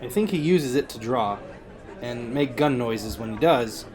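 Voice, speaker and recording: A man playing a bright, joking high school boy with a casual, quippy manner in an audio drama. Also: measured pace, crowd noise, lightly acted. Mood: amused.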